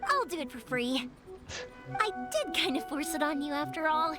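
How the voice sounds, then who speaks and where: A woman voicing a cheerful, carefree, big-sisterly young fireworks maker in a video game, with a bubbly, friendly, expressive delivery. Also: high-pitched, normal speed, over loud music.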